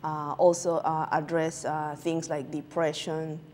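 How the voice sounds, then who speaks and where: A woman from Peru, answering interview questions as a guest physician in a halting way with many filler sounds. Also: sits low, little pitch movement, darkish tone, slight background noise.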